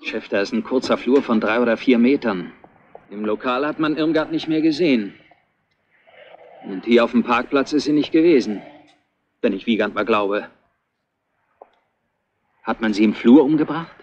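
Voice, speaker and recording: An actor playing an earnest, suspicious detective assistant in a radio crime drama, reasoning aloud in clipped, deliberate phrases. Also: male voice, medium pitch, a little mellow, slight background noise, lightly acted. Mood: brooding, reasoning. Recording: dull, band-limited old radio recording.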